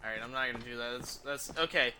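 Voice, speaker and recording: A man giving casual, halting video game commentary as he thinks aloud. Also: mid-range voice, bright, forceful tone, slight background noise.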